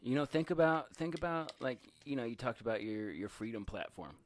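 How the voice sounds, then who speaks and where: A man's voice: medium pitch, lively intonation, fairly quick. A man in casual podcast talk, agreeable and chiming in, then riffing with a laugh in his voice. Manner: agreeable, chimes in with short replies. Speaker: podcast co-host. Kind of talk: casual chat.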